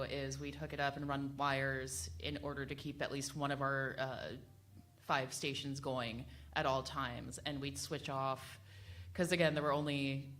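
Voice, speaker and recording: A woman's voice: sits low, fairly bright tone, a little hiss or hum. A woman talking casually as a radio panelist, rambling and correcting herself with offhand asides. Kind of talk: spontaneous, casual panel talk. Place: live panel discussion at a venue.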